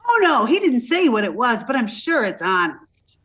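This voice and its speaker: Woman as a naive scatterbrain: high, bouncy, dull lo-fi sound. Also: very animated, normal speed, a bit bright, breathy edge, exaggerated voice. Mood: breezy. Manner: breezy, sweetly dim. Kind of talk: radio comedy acting. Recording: dull lo-fi recording.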